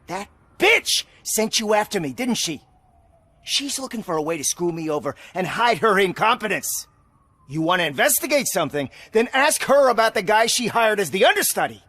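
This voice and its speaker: Petulant celebrity man, game cutscene: very high, bright, brash, dismissive. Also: sing-song, moderate pace, full character voice. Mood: indignant, sneering. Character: arrogant, petulant male TV commercial star. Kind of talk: video game cutscene acting.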